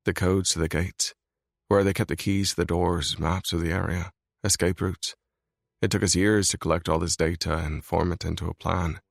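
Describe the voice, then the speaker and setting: Guy doing a brooding mafia boss, super deep gravelly voice, grim and controlled. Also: flat delivery, moderate pace, no echo, studio quality. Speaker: male lead voice in audiobook. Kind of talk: scripted audiobook reading.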